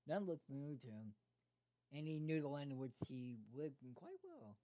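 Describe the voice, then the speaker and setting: Amateur narrator reading fanfic out loud, trips on words and repeats bits, muffled lo-fi mic, mid pitch. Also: very animated, a little hiss or hum. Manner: halting, stumbles over words and repeats phrases. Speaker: fan fiction reader. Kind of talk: amateur read-aloud narration of a fan fiction story. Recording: dull lo-fi microphone sound.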